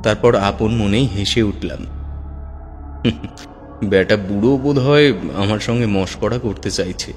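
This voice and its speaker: A man narrating a first-person horror story in a measured, suspenseful manner. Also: fairly low pitch, darkish tone. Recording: long hall reverb with music. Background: faint background music.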